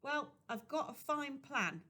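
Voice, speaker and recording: A woman voicing a plump, panting young working girl who talks in a breathy, earnest rush. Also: sits high, expressive, takes it slowly, sharp tone, pitched above the performer's own voice, heavily acted. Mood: earnest, urging. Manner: breathy, wheezing, chatty.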